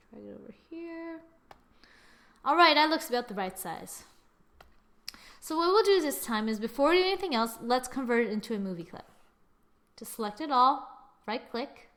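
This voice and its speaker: A young woman talking through a software tutorial in a chatty, self-mocking way, thinking aloud as she clicks. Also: high-pitched. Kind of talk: screen-recorded software tutorial.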